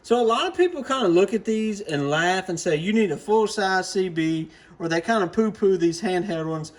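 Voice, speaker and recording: High-pitched, folksy man presenting casually. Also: expressive, normal speed. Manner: folksy, plain-spoken. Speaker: radio hobbyist presenter. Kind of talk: casual product talk to camera.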